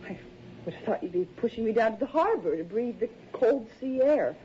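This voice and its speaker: An actress in a radio drama playing a polite, troubled city woman who speaks haltingly, on a dull, hissy recording. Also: fairly high pitch, expressive, natural voice. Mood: uneasy. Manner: hesitant, halting. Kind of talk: radio drama acting, naturalistic.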